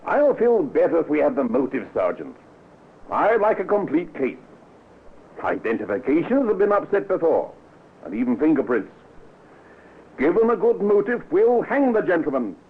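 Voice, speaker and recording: An actor playing a shrewd, cautious police inspector in an old radio drama, dry and politely probing, on a muffled, tinny recording. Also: male voice, fairly high pitch, big pitch swings, normal speed, long pauses, slightly rough. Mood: methodical. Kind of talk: old-time radio acting.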